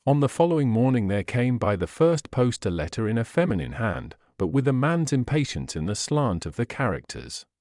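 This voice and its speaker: A man narrating a Victorian detective story as an audiobook, in a measured, formal, literary manner. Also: mid-range voice, big pitch swings, a little mellow, dry recording, clean studio sound.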